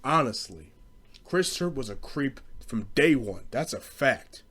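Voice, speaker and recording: A man's voice: medium pitch, sing-song, a little slow, a bit bright, slightly rough. A young American man rambling through a casual, profane comedic rant as a podcast host, in slangy speech. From southeastern Pennsylvania, US.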